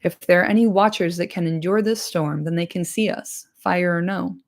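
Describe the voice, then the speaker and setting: A woman plays a grave old male wizard from a fantasy storybook. The voice sits fairly low with a marked creak, firm and commanding as it counsels companions. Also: moderate pace, close to an everyday voice. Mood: weary, commanding.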